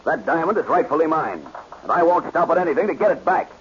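An actor playing a demanding, menacing diamond owner in 1940s radio style. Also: male voice, a little high, lively intonation, quick pace, lightly acted. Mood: demanding, threatening. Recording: muffled, hissy old radio recording.

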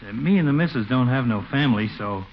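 An actor playing an eager, plain-spoken small-town American barber, chummy and hopeful, in a 1940s radio comedy. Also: male voice, mid-range voice, animated, fast talker, close to an everyday voice. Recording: muffled, faintly noisy 1940s radio recording.

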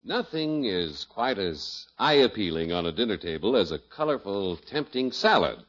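A man's voice: on the low side, sing-song, normal speed, a bit bright. A man with an American accent working as a radio announcer, emphatic and salesmanlike in polished 1950s advertising style. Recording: muffled vintage 1950s radio recording.

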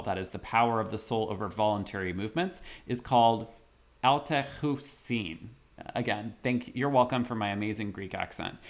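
A man co-hosting a podcast, discussing theology in a casual scholarly way with jokey asides. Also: medium pitch. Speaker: podcast co-host.

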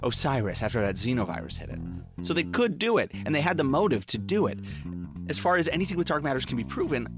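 A man's voice: mid-range voice, very animated, fast. An actor playing a wry, world-weary private detective narrating his case in an audio drama. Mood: uneasy, insistent. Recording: guitar music under the voice. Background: plucked guitar music.

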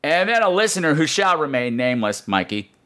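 A man's voice: on the high side, big pitch swings, a little fast, pushed and bright. A man hosting a podcast in a casual, comedic storytelling monologue, rambling with sarcastic asides and some swearing. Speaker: podcast host.

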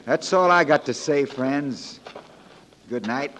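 An actor in a 1940s radio drama playing a young frontier lawyer, folksy, plain-spoken and wry but firm. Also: male voice, medium pitch, takes it slowly, heavy background noise, close to an everyday voice. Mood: earnest, persuasive. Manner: folksy, plain-spoken, joking, homespun turns of phrase.

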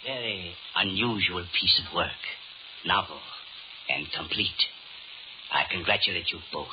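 A man's voice: deep voice, unhurried. A man playing a suave, sinister Devil in a 1930s radio horror drama, formal and courtly, mocking and commanding. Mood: smooth, sinister. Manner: formal, courtly, menacing, theatrical. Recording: muffled, noisy 1930s radio recording.

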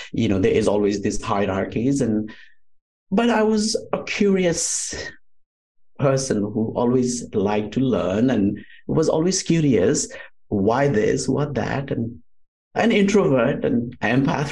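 A man's voice: mid-range voice, expressive, measured pace, a little mellow. A man with a Nepali accent speaks in a mid-range voice as a podcast guest. He tells his story conversationally, wandering from thought to thought with frequent filler phrases. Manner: rambling, peppered with "you know". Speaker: podcast guest, Ayurvedic doctor.

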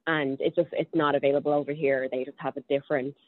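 Low-voiced Irish woman on a tinny line. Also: steady tone, fast. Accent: Dublin Irish. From Ireland. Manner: hesitant, many ums and restarts. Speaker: podcast guest. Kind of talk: casual interview chat.

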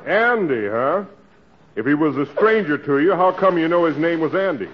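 An actor playing a suspicious, no-nonsense process server who questions bluntly in a 1940s radio comedy. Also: male voice, on the high side, expressive, normal speed, some chatter behind, close to an everyday voice. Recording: dull old radio recording.

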